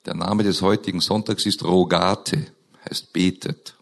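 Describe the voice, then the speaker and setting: A hoarse man with a scratchy voice, preaching a sermon in a slow, earnest way with emphatic rises, opening in prayer and reading scripture into a microphone. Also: low voice, fairly even intonation. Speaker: pastor.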